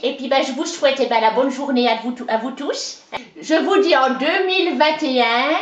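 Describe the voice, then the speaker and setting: A woman's voice: high voice, normal speed, slight background noise, natural voice. Woman playing a chatty Franc-Comtois countrywoman. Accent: Franc-Comtois (Haut-Doubs). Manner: folksy, rambling, peppered with "hein" and "oh ben". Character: chatty boastful older countrywoman from the mountains. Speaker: interviewee. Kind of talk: comic character interview. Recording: roomy, dull lo-fi sound.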